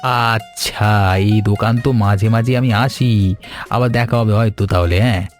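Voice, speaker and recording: A man playing a curious, courteous young man in a cartoon, with an inquisitive, slightly halting way of talking. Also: lowish voice, steady tone, natural voice. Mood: hopeful. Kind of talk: cartoon voice acting. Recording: music under the voice.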